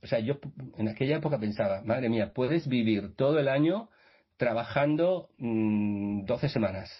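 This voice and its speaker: Brief: male guest, husky voice, meandering anecdotes, muffled call audio. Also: medium pitch, lively intonation, moderate pace. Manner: rambling with many restarts and fillers. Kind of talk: casual storytelling in a radio interview.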